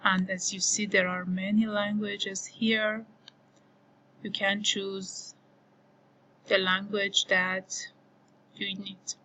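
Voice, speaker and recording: A woman whose first language is Farsi, slowly walking through a software screen as an online course instructor, thinking aloud with many pauses and fillers. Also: mid-range voice, level tone, a little hiss or hum. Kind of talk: screen-recorded tutorial walkthrough.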